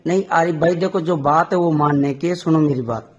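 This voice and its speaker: A man's voice: fairly high pitch, a little fast. A man arguing insistently on a phone call, repeating himself for emphasis. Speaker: caller on a recorded phone call. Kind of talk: heated phone conversation about local politics.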